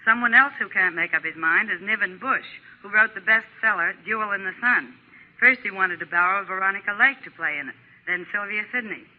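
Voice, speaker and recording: A woman plays a brisk American lady gossip columnist of 1940s radio, chatty and knowing. The sound is narrow, as from an old broadcast. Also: medium pitch, close to an everyday voice. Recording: band-limited 1940s radio recording.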